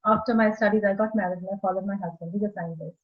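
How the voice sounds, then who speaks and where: A woman in her forties from India with an Indian accent, explaining her work in a measured, slightly halting way as an interview guest. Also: medium pitch, fairly even intonation. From India (Maharashtra). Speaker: guest architect. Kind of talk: video call interview. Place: small room indoors. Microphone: earphone mic on video call. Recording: slightly dull video call audio.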